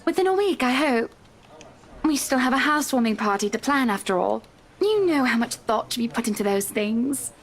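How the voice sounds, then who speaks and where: A woman's voice: a little high, expressive, moderate pace. An actress playing a wealthy, gracious married woman in a video game, with a gushing, polished and sweet way of talking. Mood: gently insistent. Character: wealthy, bubbly, gracious married woman, an eager house buyer.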